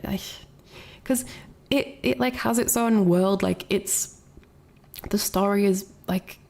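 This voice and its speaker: A woman's voice: on the low side, gravelly, a little mellow. A young adult woman streamer chatting casually with her viewers, rambling with lots of fillers, sounding congested from a cold. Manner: rambling, many fillers and restarts. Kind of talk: casual chat with chat.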